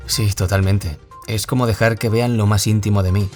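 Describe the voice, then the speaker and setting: A low-voiced young man from radio drama played by a male actor, a gentle, wounded author giving an interview. He speaks in a measured, confessional way, with music underneath. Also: steady tone, close to an everyday voice. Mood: earnest, vulnerable. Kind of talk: audio drama acting as a radio interview guest.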